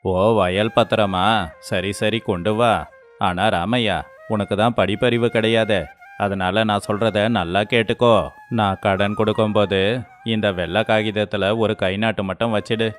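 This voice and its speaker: A man's voice: fairly low pitch, steady tone, normal speed, faint music behind, natural voice. A man playing a greedy, cunning village moneylender in a moral story, sneering and domineering. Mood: sly, mocking. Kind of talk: dramatized moral story voice acting.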